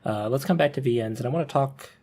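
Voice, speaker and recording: A man's voice: mid-range voice, quick pace, warm tone. A man hosting a casual podcast discussion, rambling and hedging as he steers the talk back on topic. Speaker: podcast host.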